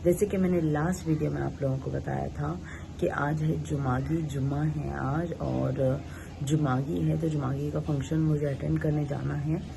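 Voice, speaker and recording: Hoarse-voiced young woman vlogging. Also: deep voice, fairly even intonation, normal speed, noisy background. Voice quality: gravelly. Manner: chatty, mixing in English phrases, many fillers. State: hoarse, sore throat. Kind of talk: casual vlog narration.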